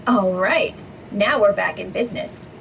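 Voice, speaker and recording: An actress playing a sharp-tongued, sarcastic young heroine. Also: female voice, medium pitch, a little slow, gravelly, a little hiss or hum, low-quality recording.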